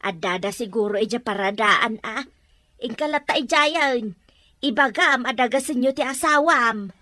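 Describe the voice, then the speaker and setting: A woman's voice: a little high, normal speed, pushed and bright, no room sound, clean studio sound. A woman with a Filipino accent playing a practical, outspoken mother in an audio drama. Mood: matter-of-fact. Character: practical, outspoken mother fussing over family. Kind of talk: Ilocano audio drama acting.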